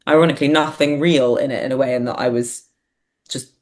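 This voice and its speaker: A woman's voice: very deep voice, normal speed. A woman talking casually and reflectively as an interview guest, hesitant and self-deprecating as she thinks aloud. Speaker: podcast guest, writer and performer.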